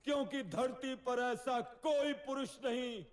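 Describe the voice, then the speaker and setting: A man acts a proud cartoon king and grieving father. His very high voice moves slowly through formal, grave lines of lament. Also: background noise. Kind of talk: cartoon mythological drama.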